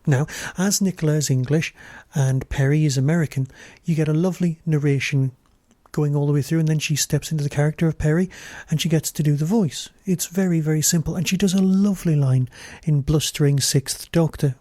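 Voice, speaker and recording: A man's voice: a little high, steady tone, a little fast, dark tone, no room sound, clean studio sound. A man presenting a podcast review as host, in scripted commentary style.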